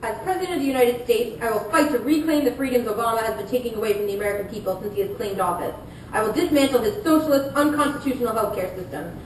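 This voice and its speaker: Woman doing a combative conservative candidate, talks fast, puppet debate, really echoey room. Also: close to an everyday voice. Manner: declarative, accusatory speechmaking. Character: combative male conservative presidential challenger. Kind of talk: scripted student puppet show debate. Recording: echoey room, distant mic, rumbling noise.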